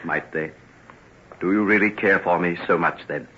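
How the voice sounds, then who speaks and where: An actor playing a troubled, remorseful gentleman doctor, earnest and theatrical, in an old-time radio drama. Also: sits low, moderate pace, slightly rough, full character voice. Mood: tender, coaxing. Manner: earnest, theatrical, formal phrasing. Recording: muffled, noisy old radio recording.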